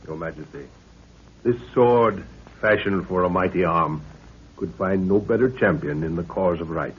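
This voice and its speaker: An actor playing a courteous, steady middle-aged expedition leader with formal, gallant speech, in 1930s radio drama style. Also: male voice, low-pitched, normal speed, slightly rough. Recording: muffled, noisy 1930s radio recording.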